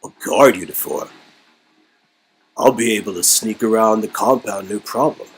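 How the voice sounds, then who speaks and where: A man's voice: lowish voice, normal speed, slightly rough, rough recording. A man reading a story game aloud as host, playfully dramatizing it and breaking off into joking commentary. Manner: playful, riffing, swings into exclamations and mock voices.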